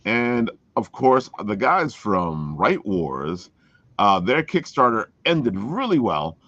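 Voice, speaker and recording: A man's voice: on the low side, very animated, slow pace, a bit bright, no echo. Guy hosting a podcast, hyped casual plugs, voice goes up and down a lot, dry but dull audio. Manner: enthusiastic, plugging. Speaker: podcast host. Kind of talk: casual promotional chat.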